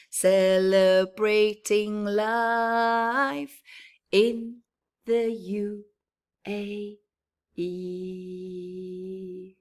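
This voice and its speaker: A woman's voice: mid-range voice, smooth. A woman voicing a proud, cheerful young boy tree from a children's storybook, with earnest, emphatic phrasing. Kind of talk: children's storybook narration in the first person.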